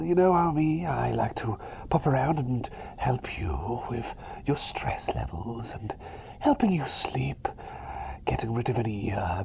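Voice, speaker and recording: A man playing a cheery, eccentric plague doctor in a whispered ASMR roleplay, polite, chatty and hesitant, bumbling along with excitable eagerness. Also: mid-range voice, vocal fry, noisy background, exaggerated voice. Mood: earnest, hushed.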